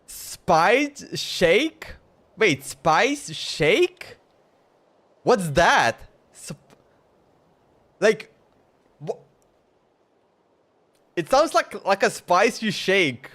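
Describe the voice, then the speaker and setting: A man's voice: sits high, big pitch swings, very slow, long pauses, fairly bright tone. A man giving casual live gameplay commentary as a streamer, rambling and thinking aloud. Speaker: game streamer.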